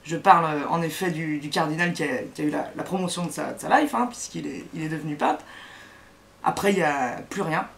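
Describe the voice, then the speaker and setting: A man's voice: a little high, gravelly, a bit bright, slight background noise. Sarcastic man doing a mocking monologue. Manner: sarcastic, mocking, halting delivery. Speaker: satirical comedy host. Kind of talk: satirical comedy monologue.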